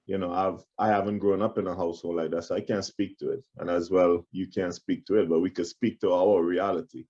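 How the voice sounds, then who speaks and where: A man from Trinidad hosts an interview in a very deep voice. He talks in a relaxed, affirming way and often repeats words as he gathers his thoughts. The recording sounds dull and lo-fi. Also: a little fast. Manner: warm, affirming, repeats words while thinking. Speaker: podcast host. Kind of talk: conversational interview. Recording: dull lo-fi audio.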